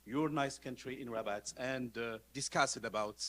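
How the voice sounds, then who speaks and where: A male law professor from Morocco speaking at a conference, halting with frequent "uh" pauses and switching between Spanish and English. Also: mid-range voice, fairly bright tone. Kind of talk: conference speech.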